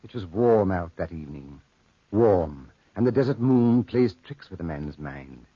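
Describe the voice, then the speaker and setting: An actor playing a wry narrator of a dark desert tale in 1940s radio drama style, theatrical and deliberate. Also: male voice, low-pitched, expressive, breathy edge, no echo, full character voice. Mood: ominous, suspenseful. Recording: old lo-fi radio recording.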